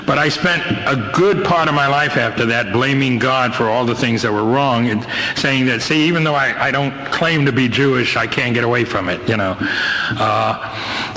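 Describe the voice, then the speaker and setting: Bright-voiced New York man, halting storyteller. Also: medium pitch. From Brooklyn, New York, United States. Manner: rambling, halting, wryly humorous storytelling. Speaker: guest speaker at a recovery meeting. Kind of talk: personal testimony to a live meeting. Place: meeting hall, speaking to a group. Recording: noisy 1990s amateur meeting tape.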